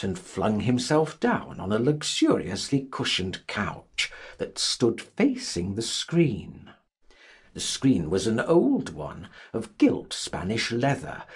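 Guy doing a dramatic audiobook read, really swoopy pitch, very raspy voice, theatrical. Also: darkish tone. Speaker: audiobook narrator. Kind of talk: dramatic literary audiobook narration.

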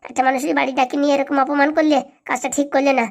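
A woman's voice: high-pitched, flat delivery, racing, vocal fry. A woman voicing an indignant, threatening village uncle in a comic cartoon. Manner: scolding, indignant. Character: aggrieved, vengeful village uncle who threatens and scolds.

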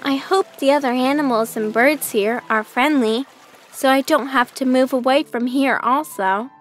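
A woman voicing a lonely, gentle young duckling in a children's cartoon, earnest and plaintive. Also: high-pitched, moderate pace, slight background noise, close to an everyday voice. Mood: wondering, pleased. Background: music.

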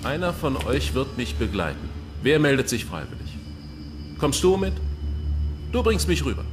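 An actor playing a brusque, commanding colonial official in a docudrama, impatient and dismissive. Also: male voice, mid-range voice, huge pitch swings, normal speed, music in the background. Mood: stern. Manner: barking orders, impatient. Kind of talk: docudrama acting.

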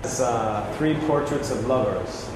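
A man's voice: medium pitch, unhurried. A man in his forties curating a small gallery, explaining the photos in a loose, enthusiastic way with many fillers, on a lapel mic. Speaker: gallery curator giving a tour. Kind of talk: casual walkthrough explanation. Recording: echoey room with background noise.

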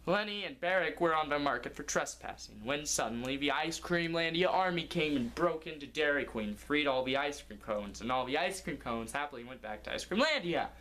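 A man's voice: on the high side, animated, a little fast, few pauses, bright, forceful tone, rough recording. A young man improvising a comic story as its narrator, recorded indoors in a living room. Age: young adult.

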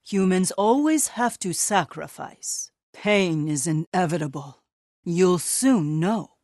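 A woman's voice: on the low side, animated, takes it slowly, a little mellow, dry recording, clean studio sound, heavily acted. An actress playing a vengeful demon witch and wronged mother in a video game, menacing and theatrical. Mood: brooding, menacing. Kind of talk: dramatic video game villain acting.

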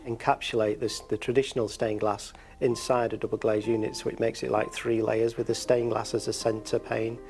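A middle-aged craftsman with a gravelly, mid-pitched voice talks through his methods step by step. He sounds patient and instructive, recorded in a workshop with faint music underneath. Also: level tone, normal speed, few pauses, darkish tone. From England. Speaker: stained glass craftsman. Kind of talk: explaining his craft in an interview. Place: glass workshop studio.

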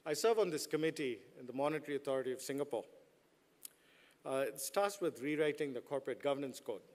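A man's voice: mid-range voice, fast, a little mellow. A middle-aged man giving a commencement address from a podium in a large hall, mixing playful jokes with the audience into his speech. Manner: joking, playful asides to the audience. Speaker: business school dean giving a commencement speech. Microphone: podium microphones.

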